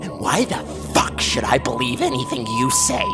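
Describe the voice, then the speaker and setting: A man's voice: mid-range voice, wildly swooping pitch, normal speed, over loud music, voice pushed up. A man playing a distraught, angry man who has lost everything, anguished and snarling, in a horror audiobook. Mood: furious despair. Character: distraught, angry young man losing his family.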